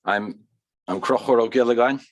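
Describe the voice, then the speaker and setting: A man's voice: mid-range voice, normal speed. A man with an Irish accent giving frank, earnest remarks as a researcher on a conference panel, laying out his points one by one. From Ireland.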